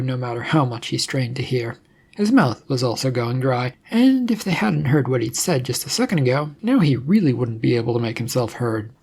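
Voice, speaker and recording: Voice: medium pitch, gravelly, darkish tone, breathy edge. A narrator reading scripted fiction aloud as a storyteller.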